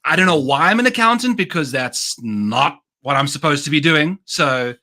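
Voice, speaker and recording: A man's voice: on the high side, expressive, moderate pace, bright, forceful tone. A man with a South African accent, a guest on a golf betting podcast, chatting casually with breezy, upbeat slang.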